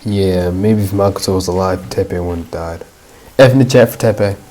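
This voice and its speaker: A woman's voice: a bass voice, brisk, warm tone, slight background noise. A woman reacting casually to a video game as she streams, with informal, wry asides. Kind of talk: casual live gameplay reaction.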